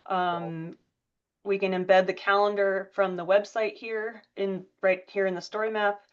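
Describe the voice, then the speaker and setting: A woman with a cold leads a meeting, hesitant and halting, with lots of ums and restarts. Her voice comes through a dull, lo-fi video call line. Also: medium pitch, level tone, clear, resonant tone. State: has a cold. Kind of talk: meeting presentation.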